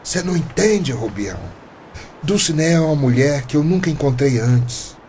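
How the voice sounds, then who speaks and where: A man's voice: a little high, lively intonation, normal speed, a little mellow, slight background noise, lightly acted. An actor with a Brazilian accent playing an earnest, stubborn, lovestruck eighty-year-old man in a radio drama. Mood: earnest, resolute.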